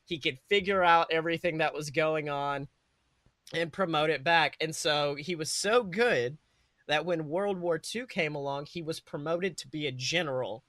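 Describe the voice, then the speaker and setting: A man's voice: a little high, moderate pace, pushed and bright, no room sound. A man co-hosting a podcast, improvising a comedic story in an informal, sweary way with dramatic build-ups. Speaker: podcast co-host.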